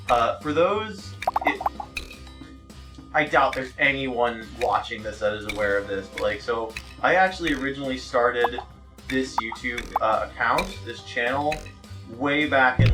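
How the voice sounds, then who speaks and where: Man, let's play host, casual rambling, halting delivery, frequent swearing, ordinary mid pitch, music in the background. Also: recorded from a distance. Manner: foul-mouthed, rambling, halting. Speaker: gaming video host.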